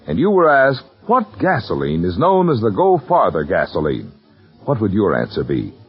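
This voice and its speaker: Animated vintage radio adman, slightly husky. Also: fairly low pitch. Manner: polished, emphatic salesman delivery. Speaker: radio announcer reading sponsor message. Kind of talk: 1940s radio advertising announcement. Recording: muffled vintage radio broadcast, faint music.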